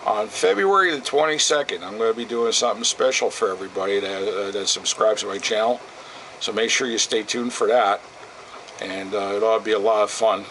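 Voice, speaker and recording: Man, lowish voice, folksy, unhurried, casual repair demo, thinking aloud, faint steady noise, camera mic in workshop. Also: rough recording. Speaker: radio repair vlogger. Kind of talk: casual narrated repair demonstration. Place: repair shop, indoors. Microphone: camera microphone.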